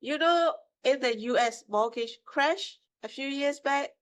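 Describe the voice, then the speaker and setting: A woman's voice: sits high, measured pace. A woman, answering interview questions in broken English with hesitations and tag questions. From Myanmar. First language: Burmese. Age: 50s. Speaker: guest, mortgage broker.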